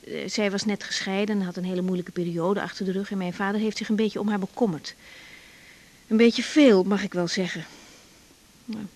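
An actress playing a young doctor, understated and hesitant, in a naturalistic radio drama. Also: female voice, medium pitch, steady tone, brisk, a little mellow, lightly acted. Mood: hesitant, uneasy. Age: young adult. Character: young female doctor, hesitant, dry, matter-of-fact.

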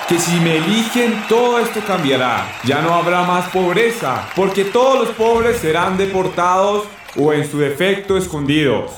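An actor playing a pompous, cynical politician declaiming a parody campaign speech in a radio soap. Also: male voice, high-pitched, expressive, normal speed, few pauses. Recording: distant, echoey, noisy public-rally sound.

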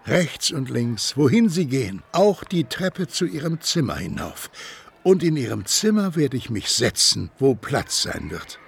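A man's voice: mid-range voice, big pitch swings, measured pace, creaky, husky, heavily acted. An actor playing an intense, sardonic man who presses another with insistent, mocking questions in a German radio drama.

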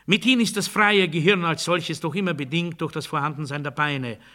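An actor playing a pompous authoritarian demagogue in a satirical radio play, giving a declamatory, bureaucratic and commanding speech. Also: male voice, a little high, fairly quick, bright, forceful tone. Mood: proud, doctrinaire. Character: pompous authoritarian male demagogue drilling the masses.

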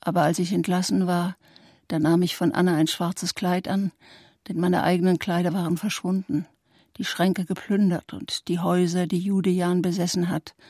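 An actress playing a bitter, fanatical Nazi widow in mourning in a radio play, grim and venomous, often dropping to a breathy whisper. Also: female voice, lowish voice, level tone, normal speed, a little mellow, dry recording, studio quality. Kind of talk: German literary radio play.

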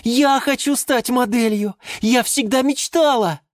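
A woman voicing an excitable, quarrelsome female geranium in a children's story, with shrill, indignant exclamations. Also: a little slow, no room sound, studio quality, natural voice. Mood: eager, excited.

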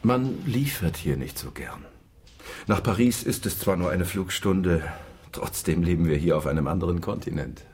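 A sardonic country police lieutenant in a radio crime drama. His voice is deep and his delivery dry. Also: animated, moderate pace, a little mellow, slight background noise. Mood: wry, guarded.

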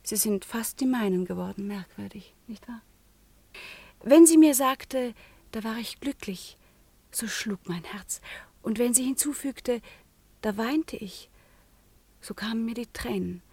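An actress playing a proud, melancholy eighteen-year-old convent girl in a classical radio drama, with intense, declamatory delivery that often drops to a hush. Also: fairly high pitch, animated, a little slow, pauses often, slightly rough, no echo, full character voice. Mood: wistful, hushed. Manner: intense, declamatory, often dropping to a hushed whisper.

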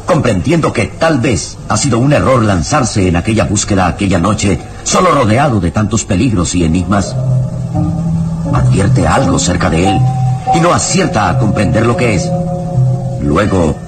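A man narrating an old radio serial, solemn and suspenseful, with deliberate dramatic phrasing. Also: on the low side, sing-song. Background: loud dramatic music.

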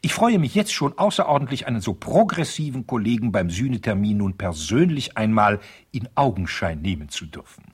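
Husky, pompous, spiteful lawyer. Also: male voice, on the low side, very animated, brisk, few pauses, exaggerated voice. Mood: smug, disdainful. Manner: pompous, formal, biting. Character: pompous, spiteful older lawyer, smugly offended. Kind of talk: radio play, letter read aloud in character.

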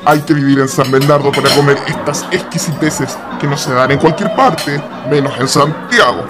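An actor playing a genial but scheming military conspirator in a historical radio drama. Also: male voice, a little high, moderate pace, over loud music, over a noisy crowd, natural voice. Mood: conspiratorial.

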